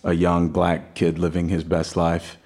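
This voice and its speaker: A man's voice: low voice, monotone, unhurried, darkish tone. A young man from Greece, raised in Texas, introducing his song on a concert stage with a reflective, personal story. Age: young adult. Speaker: singer-songwriter performing live. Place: live concert hall stage.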